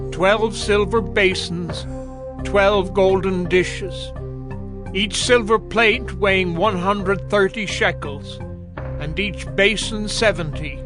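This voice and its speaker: A man with a notably high voice reads scripture as a narrator. He is formal and takes his time, with music clearly playing behind him. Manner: measured, formal. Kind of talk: dramatized scripture reading.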